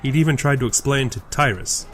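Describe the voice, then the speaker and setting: A man's voice: medium pitch, brisk, fairly bright tone, a little hiss or hum. A man reading scripted narration for a fantasy audio drama.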